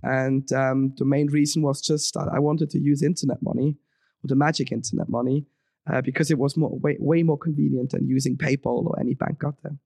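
Young Swiss guy, podcast guest, fry in the voice, loose rambling talk, dead dry mic. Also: mid-range voice, fairly even intonation, a little fast, a little mellow, no room sound. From Switzerland. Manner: rambling, many ums and restarts. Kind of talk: casual interview talk.

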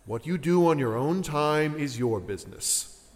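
A man's voice: on the high side, animated, unhurried. A man playing a stern, impatient restaurant boss in an audio drama, scolding and condescending toward his staff. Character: stern, exasperated male restaurant boss, older than his employee. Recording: long reverberant room echo.

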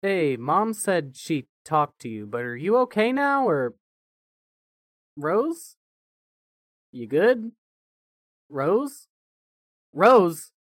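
Actor doing a worried brother, agitated and blunt, really animated, close dry sound. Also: male voice, on the high side, very slow, long pauses, no room sound, exaggerated voice. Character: anxious, swearing sibling, increasingly frantic with worry. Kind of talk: fan fiction audio drama acting.

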